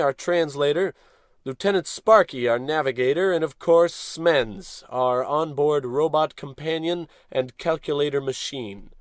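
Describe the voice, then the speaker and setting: An actor playing a pompous, gung-ho American space captain in a parody of old radio adventure serials, declaiming orders with heroic self-importance. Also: male voice, fairly high pitch, no room sound, studio quality, lightly acted.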